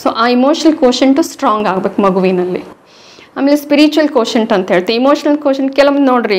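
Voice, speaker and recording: Woman, high voice, North Karnataka accent, patient, teacherly, explaining. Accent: North Karnataka Kannada. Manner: patient, teacherly, mixing in English words. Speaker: interview guest, prenatal course teacher. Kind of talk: explanatory interview talk.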